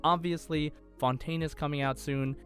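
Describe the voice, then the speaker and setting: A man's voice: a little high, fairly even intonation, normal speed, a bit bright, faint music behind. A man hosting a podcast in a casual, chatty style with an upbeat, enthusiastic manner. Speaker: podcast host.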